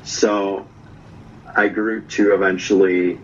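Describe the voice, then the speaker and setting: Low-voiced man on a muffled call. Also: slow, a little hiss or hum. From Norway. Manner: relaxed storyteller, tags lines with "right?". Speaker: guest vlogger. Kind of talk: casual interview chat.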